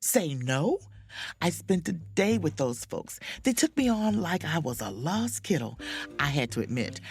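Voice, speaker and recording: A proud, easily offended chief mate confiding in her diary in an audio drama. Her voice sits low for a woman and swings widely with wry, theatrical emphasis, over faint music. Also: vocal fry, full character voice. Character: proud, indignant, self-dramatizing spaceship chief mate on vacation.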